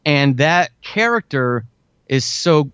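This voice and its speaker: A man's voice: on the high side, expressive, takes it slowly, a bit bright, clean tone, no echo. A man in his forties talking casually and earnestly as an interview guest, with an enthusiastic manner. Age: 40s. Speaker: guest, fiction and audio drama writer. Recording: dull, lo-fi band-limited audio.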